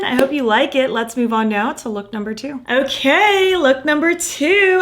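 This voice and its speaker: A woman chatting casually through a makeup tutorial, gushing about the colors and murmuring softly while she applies them, her voice a little croaky from losing it. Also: a little high. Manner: gushing, enthusiastic, soft murmurs while applying. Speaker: makeup vlogger.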